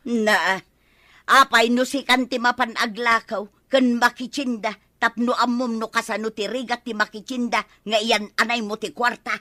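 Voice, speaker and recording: A bright, forceful, fairly high-pitched village wife in an Ilocano radio comedy drama. She is feisty and aggrieved, complaining with emphatic, expressive delivery and an Ilocano accent. The sound is close and dry. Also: normal speed, no room sound. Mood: aggrieved, scolding.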